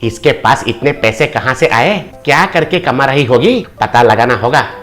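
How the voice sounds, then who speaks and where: A man plays a rich, crooked village boss in a cartoon folk tale. He is a mid-pitched villain, insinuating and domineering, greedy and lecherous, over faint music. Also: animated, moderate pace, fairly bright tone, natural voice. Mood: jealous, scheming. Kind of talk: animated moral story voice acting.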